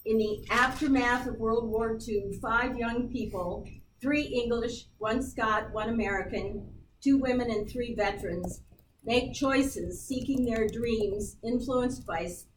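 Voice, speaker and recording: A woman attending a writing workshop, reading her book pitch aloud in a loud, projecting voice. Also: unhurried. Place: meeting room. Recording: roomy distant recording with noise.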